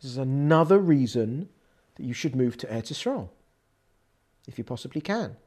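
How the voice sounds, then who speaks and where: A woman with an English accent giving a lively, conversational religious lecture, acting out quoted lines as she explains. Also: very deep voice, normal speed, slightly rough. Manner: animated, conversational teaching with quoted dialogue. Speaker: Torah teacher.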